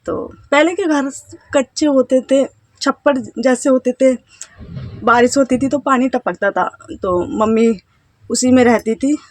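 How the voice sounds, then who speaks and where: A woman in her thirties from India speaks to her viewers from home in a fairly high voice. She talks in a hesitant, halting way, trailing off and restarting her phrases. The sound is dry and close, with no room echo. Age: 30s. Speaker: vlogger, housewife. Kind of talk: casual personal storytelling to camera.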